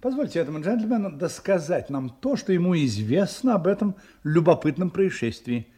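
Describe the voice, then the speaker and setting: An actor playing a courteous, composed private detective in a radio play, with a polite, measured, assured manner. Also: male voice, a little high, sing-song, dark tone. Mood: composed, insistent.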